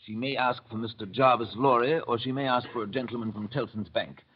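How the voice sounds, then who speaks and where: An actor playing a reserved, businesslike English banker and narrator in an old radio drama, with a measured, formal way of talking. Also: male voice, medium pitch, fairly even intonation, natural voice. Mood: formal, hesitant. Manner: measured, formal, hesitant at delicate news. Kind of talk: 1930s radio acting. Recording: dull, noisy old radio recording.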